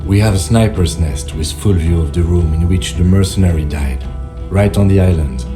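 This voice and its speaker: Game casting: man to play deep-toned, by-the-book police lieutenant, cautious, measured, unflappable. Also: over music, lightly acted. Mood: firm. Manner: measured, formal, firm. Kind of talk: video game voice acting.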